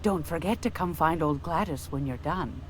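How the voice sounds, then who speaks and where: A woman's voice: low voice, normal speed, slight background noise, natural voice. An actress playing a folksy, sly older woman in a video game, chatty and homely. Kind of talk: video game character dialogue.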